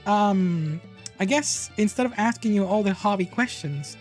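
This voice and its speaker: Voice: medium pitch, expressive, moderate pace, darkish tone, faint music behind. A host with a Puerto Rican accent running a casual online interview show, joking around and laughing often. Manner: playful, laughs a lot.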